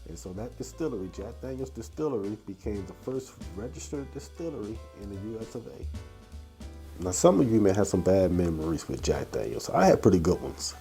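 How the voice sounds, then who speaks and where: Deep-voiced man with a stuffy cold. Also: big pitch swings, moderate pace, vocal fry, warm tone, faint music behind. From Detroit, USA. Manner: easygoing and chatty, with frequent uh and you know. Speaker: whiskey reviewer and channel host. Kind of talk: casual spoken review and storytelling.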